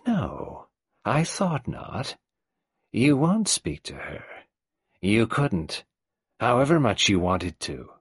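A man's voice: mid-range voice, sing-song, takes it slowly, pauses often, husky, no room sound, studio quality, voice pushed up, heavily acted. A man doing a malicious wheezing old matriarch. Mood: taunting. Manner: venomous, taunting, wheezing. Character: malicious old domineering mother, woman.

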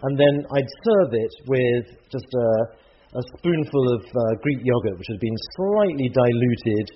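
A man's voice: mid-range voice, lively intonation, measured pace, muffled recording, slight background noise. An English man, an author, talking enthusiastically and chattily while cooking in a home kitchen. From England. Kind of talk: casual interview talk while cooking.

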